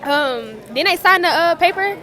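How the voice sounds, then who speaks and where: A teenage girl with a high, bright voice answers questions. She sounds hesitant and flippant, with some people chattering behind her. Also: expressive, normal speed. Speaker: interviewee. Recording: chattering public place. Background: crowd chatter.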